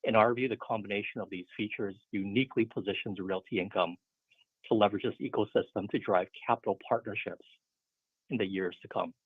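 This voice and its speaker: Over a narrow, muffled conference phone line, a man with a lowish, husky voice reads a scripted financial report. His delivery is businesslike and steady, that of an executive addressing investors. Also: a little fast, no room sound. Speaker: finance executive on an earnings call.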